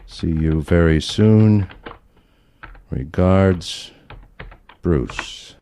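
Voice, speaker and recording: A man acting as a sly, crusty elderly grandfather in an audio play, speaking in a deliberate, halting way. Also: low voice, warm tone. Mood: coldly scheming. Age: older.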